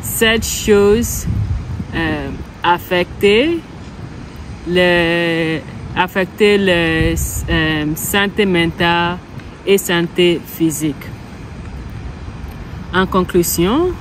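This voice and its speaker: Young woman, English speaker, slow, hesitant French-learner delivery over a constant noisy hum. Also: fairly low pitch. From Ghana. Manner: hesitant, halting, self-correcting. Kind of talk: practice speaking exercise. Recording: steady background hum.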